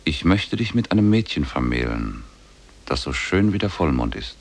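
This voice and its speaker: A man's voice: a bass voice, very animated, exaggerated voice. A man playing a solemn, commanding spirit in the shape of an ape, speaking formally in a 1950s radio play. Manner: measured, solemn, formal storybook speech.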